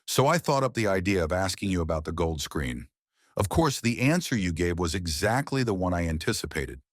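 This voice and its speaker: A man doing a sharp, sarcastic detective. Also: low voice, big pitch swings, fairly quick, no room sound, studio quality. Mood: probing, accusing. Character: shrewd, confident male detective in middle age. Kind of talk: audiobook character voice.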